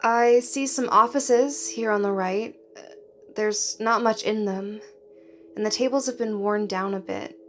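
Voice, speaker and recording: Actress as curious, hesitant woman, unhurried. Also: mid-range voice, fairly even intonation, a bit bright, faint music behind, close to an everyday voice. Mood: wondering, uneasy. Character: curious, hesitant woman exploring a strange quarantined place. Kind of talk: audio drama acting.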